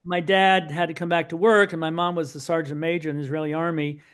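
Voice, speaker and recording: Middle-aged man, slightly high voice, animated storyteller, podcast guest. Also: fast talker, clear, resonant tone. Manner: lively anecdotal storytelling with frequent asides. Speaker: podcast guest, son of Holocaust survivors. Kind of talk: storytelling in an interview.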